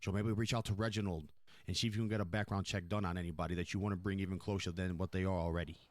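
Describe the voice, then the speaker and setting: A man's voice: low-pitched, fairly even intonation, rapid-fire, dry recording, clean studio sound, lightly acted. A man playing a demanding, foul-mouthed mafia boss in improvised crime role-play, speaking emphatically and repeating himself as he probes. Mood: stern, commanding. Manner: emphatic, repetitive, probing questioning.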